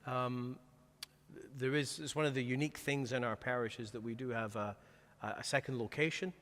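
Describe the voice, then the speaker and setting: A man speaking as a pastor who hosts a live conversational interview, with a halting delivery that often rephrases itself. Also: medium pitch, fairly even intonation, a bit bright. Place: church, before the altar. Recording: long echoey reverb of a large hall.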